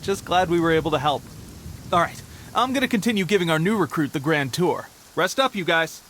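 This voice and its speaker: Eager, high-voiced young soldier. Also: male voice, lively intonation, a little fast, fairly bright tone, slight background noise. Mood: cheerful, confident. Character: eager young male soldier, boastful, earnest and helpful. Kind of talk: English video game voice acting.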